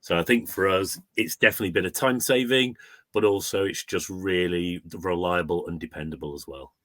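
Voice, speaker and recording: A man with a deep voice talks as an invited business guest in a conversational, informal style. The recording is very dry, with no audible room around him. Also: a little fast, fairly bright tone, dry recording. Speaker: recruitment agency client guest. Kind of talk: conversational testimonial.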